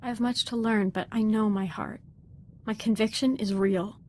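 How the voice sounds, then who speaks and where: A woman's voice: mid-range voice, fairly even intonation, normal speed, darkish tone, close to an everyday voice. Woman playing devoted young Sith apprentice, background noise. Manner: earnest, deferential, articulate. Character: young female Sith apprentice, devoted, fervent, darkly eager. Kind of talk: dramatic video game voice acting.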